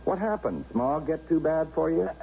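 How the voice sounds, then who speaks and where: An actor playing a married commercial artist who narrates his own story in a 1970s radio drama, relaxed and friendly. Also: a little high, normal speed, vocal fry, slight background noise. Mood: cheerful. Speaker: narrator and lead. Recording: dull 1970s radio broadcast.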